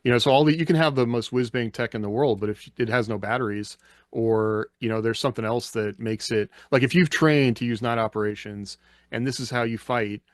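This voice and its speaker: A man of medium pitch speaks as a panelist on a podcast. His talk is conversational, and the recording is dry and free of echo. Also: a little fast. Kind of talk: casual panel discussion.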